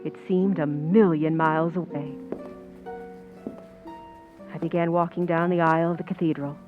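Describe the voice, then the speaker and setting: A woman playing a scheming stand-in actress confessing to murder in an old-time radio suspense narration, with tense, deliberate delivery. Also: low voice, steady tone, phone-quality sound, lightly acted. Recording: music under the voice.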